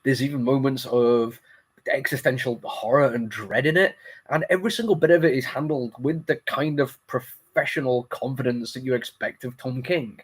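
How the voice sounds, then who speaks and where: A man with a medium-pitched voice, a little stuffed up from a cold. He talks as a review host, casual and unscripted, wandering through his thoughts in a conversational, apologetic manner. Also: breathy edge. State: congested, sniffly with a cold. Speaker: comic book review host.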